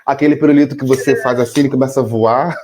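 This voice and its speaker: Brief: middle-aged Brazilian co-host, warm dark tone, chatty, keeps asking "entendeu?". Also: male voice, mid-range voice, fast. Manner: explains with rhetorical questions to the audience; relaxed, chatty, says "entendeu?" often. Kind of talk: casual chat.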